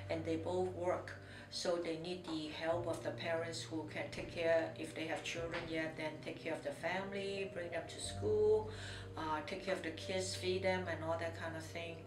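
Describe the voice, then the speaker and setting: Brisk realtor woman, noisy echoey room. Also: low-pitched. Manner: brisk, broken English, lists things. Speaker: real estate agent. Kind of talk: interview. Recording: echoey, distant mic, noisy background.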